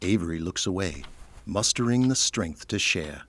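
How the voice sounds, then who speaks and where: A man's voice: sits low, lively intonation, moderate pace, a bit bright. A man reading scripted narration for an audio drama.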